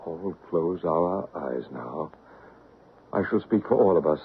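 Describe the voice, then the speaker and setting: A man's voice: sits low, lively intonation, slow, creaky, husky, heavily acted. An actor playing an earnest, solemn man leading a séance in an old radio drama, intent and probing. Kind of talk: old-time radio drama acting and narration. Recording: narrow, noisy old radio recording.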